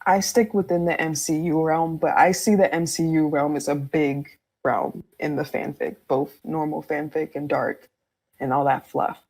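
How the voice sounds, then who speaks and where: A woman's voice: sits low, a little mellow. A woman co-hosting a casual podcast chat, candid and slangy with a wry edge.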